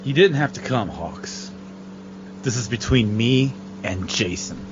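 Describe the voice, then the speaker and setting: A man's voice: mid-range voice, lively intonation, measured pace, lightly acted. A man playing a grim, determined young man in an amateur audio drama, terse and intense. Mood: grim, resolute. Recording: dull audio with faint hum.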